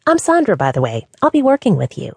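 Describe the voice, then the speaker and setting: A woman voicing a cheery young female receptionist who chatters fast in a high, breathy voice. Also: wildly swooping pitch, darkish tone, no echo, heavily acted. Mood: bubbly. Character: bubbly young female receptionist, cheery but easily scared.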